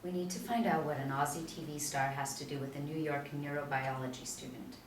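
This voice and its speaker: A woman's voice: deep voice, fairly even intonation, brisk. A woman reading the part of a composed, skeptical FBI agent questioning a suspect, at a TV pilot table read. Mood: matter-of-fact. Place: small meeting room, seated in a row. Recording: echoey room, distant mic, faint noise.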